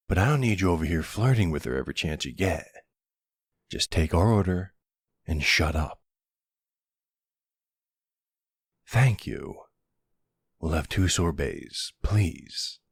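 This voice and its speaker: Man acting a very deep, gravelly mafia boyfriend. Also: no room sound, studio quality, heavily acted. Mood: gruff, bantering. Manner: playful, teasing, smooth-talking with tough-guy swagger. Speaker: voice actor in an audio roleplay. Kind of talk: intimate ASMR boyfriend roleplay acting.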